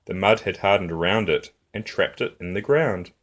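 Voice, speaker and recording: A man reading a rhyming picture book aloud for young children in an expressive, rhythmic way, as a storytime host. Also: low voice, normal speed.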